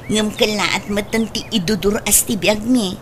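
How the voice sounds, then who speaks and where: A woman's voice: medium pitch, fairly even intonation, moderate pace, slight background noise, close to an everyday voice. A woman with an Ilocano accent playing a grateful, respectful young married daughter in a radio drama, earnest and deferential.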